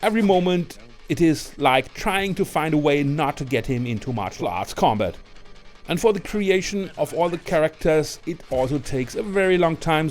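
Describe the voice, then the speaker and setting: A man's voice: mid-range voice, darkish tone. A man presenting a scripted film review as a ranked list, in the manner of a video narrator. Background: music.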